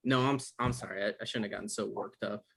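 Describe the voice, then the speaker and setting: A man acting an evasive, awkward novelist in a comedy-drama table read, halting and defensive, with stammers. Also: lowish voice, vocal fry, a crowd in the background. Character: Michael, evasive, awkward novelist visiting his ex.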